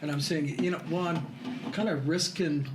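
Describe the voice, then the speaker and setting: A man's voice: on the high side, moderate pace. Older man telling wry stories. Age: 60s. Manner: self-deprecating, laughing storyteller. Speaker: guest. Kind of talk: casual storytelling. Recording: noisy room ambience.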